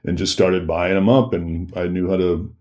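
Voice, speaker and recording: A man's voice: low-pitched, fairly even intonation, normal speed, a little mellow. A man, telling stories casually as a podcast guest, informal and joking. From Minneapolis, USA. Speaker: podcast guest, DJ and personal trainer. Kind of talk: casual storytelling.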